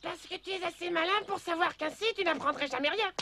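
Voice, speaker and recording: A woman voicing a chatty, preachy bird in a dubbed cartoon, creaky and sharp, with an exclamatory, moralizing way of talking. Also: extremely high pitch, quick pace, noisy background. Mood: cheerful, sly.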